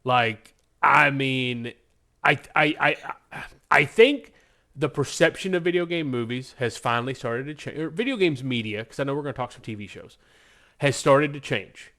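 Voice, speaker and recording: A man's voice: mid-range voice, expressive, normal speed, pushed and bright. Male podcast host, mid-range voice, loose conversational delivery that wanders informally. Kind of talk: casual podcast chat.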